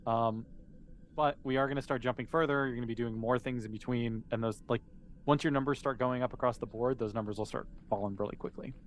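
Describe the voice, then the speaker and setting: A man's voice: mid-range voice, fairly even intonation, fast, a little hiss or hum. A man casually narrating a tabletop game as game master, explaining in an easygoing, conversational way.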